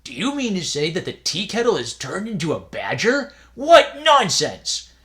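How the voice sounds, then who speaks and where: A reader voicing frightened young male novice monks, shouting in shrill panic. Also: huge pitch swings, drawn-out words, full character voice. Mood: panicked.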